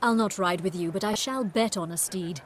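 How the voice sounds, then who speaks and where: An actress playing a genteel, self-assured noblewoman in a video game, with formal, measured phrasing. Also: mid-range voice, slight background noise, natural voice. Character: poised, genteel noblewoman, keen on horse racing. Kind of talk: video game character acting.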